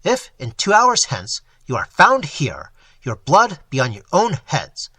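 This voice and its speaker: A man's voice: on the high side, wildly swooping pitch, a little slow, sharp tone, slightly rough, no room sound, full character voice. A man reading a stern, menacing older judge who delivers cold, commanding threats.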